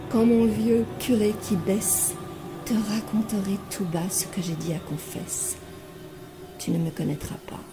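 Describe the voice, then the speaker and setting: A woman reciting poetry expressively in a breathy voice that often drops near a whisper, with deliberate dramatic phrasing. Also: medium pitch. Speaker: poetry reader. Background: music.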